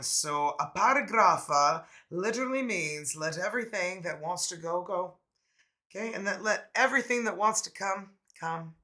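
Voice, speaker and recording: Laid-back guy reading tarot cards, bit raspy from a cold, bright clear tone, kinda high voice. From Canada. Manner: laid-back, chatty, laughs often. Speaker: tarot reader.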